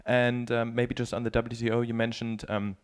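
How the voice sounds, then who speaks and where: A man's voice: mid-range voice, completely flat delivery, normal speed, smooth. A man working as a journalist, asking a panel a long question from the audience with many hesitations. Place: conference room.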